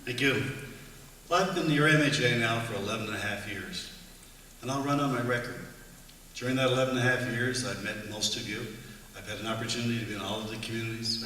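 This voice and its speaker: A man who already holds the seat speaks plainly and steadily at a debate. His voice is bright and forward. It sounds distant in a big hall with long reverb and faint noise behind it. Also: medium pitch. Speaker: incumbent politician. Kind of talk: prepared debate statement. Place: large events hall.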